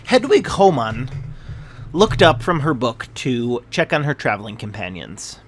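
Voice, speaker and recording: A man's voice: medium pitch, very animated, a little slow, a little hiss or hum. A man reading a scripted fantasy tale aloud as its narrator.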